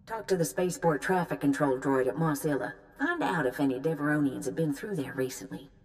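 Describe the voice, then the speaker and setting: A handler briefing an agent in a video game. Her voice is deep for a woman, and her manner is brisk, knowing and instructive. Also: lively intonation. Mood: businesslike, knowing. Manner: businesslike, instructive. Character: brisk female mission handler giving briefings.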